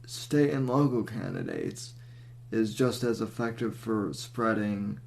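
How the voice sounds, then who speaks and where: A man hosting a podcast, introducing and interviewing a guest, with frequent fillers and pauses. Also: lowish voice, sing-song, gravelly, darkish tone. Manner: halting, with many fillers. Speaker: podcast host.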